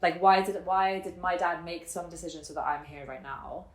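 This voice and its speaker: A woman in her twenties telling her personal story casually and reflectively, thinking aloud in halting, informal phrases. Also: fairly low pitch. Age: 20s. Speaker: interviewee telling her family and life story. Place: indoor room in Germany. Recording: roomy echo on the voice.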